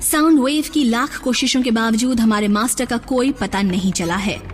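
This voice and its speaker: A woman plays a cunning female robot commander from a cartoon, her voice pitched a little high. She talks in a cold, controlled way and gives orders. A loud music score runs behind her. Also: normal speed, natural voice. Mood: scheming. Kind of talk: Hindi cartoon dub.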